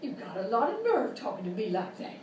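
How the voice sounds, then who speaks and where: A woman's voice: mid-range voice, sing-song, fast, darkish tone, natural voice. A woman playing a brassy, nagging Wild West saloon gal in a live radio comedy sketch, folksy and theatrical. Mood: brassy, indignant. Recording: distant, roomy, noisy live stage recording.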